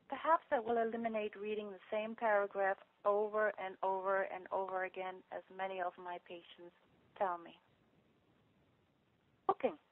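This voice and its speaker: A woman clinician reading a prepared advice talk to patients in a measured, instructional manner over a telephone conference call. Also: medium pitch. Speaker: clinician speaker presenting to patients. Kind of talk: prepared talk read aloud, giving practical advice. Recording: tinny narrow-band telephone conference line.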